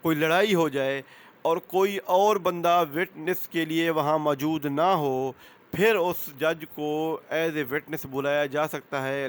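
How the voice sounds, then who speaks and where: A man's voice: on the high side, clear, resonant tone. A man with a Pakistani accent giving an explanatory lecture as a lawyer, mixing in English legal terms. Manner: switches between Urdu and English legal terms.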